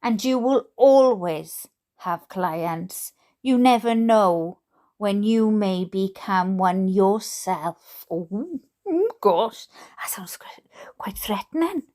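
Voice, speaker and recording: A Welsh woman reading aloud casually with chatty, jokey asides to her online audience, in an expressive, self-deprecating way. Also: mid-range voice, takes it slowly. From Wales. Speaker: YouTube host reading letters aloud.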